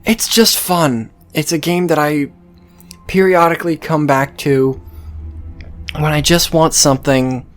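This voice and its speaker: A game series host giving casual, sweary let's-play commentary in a rambling, hesitant way. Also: medium pitch.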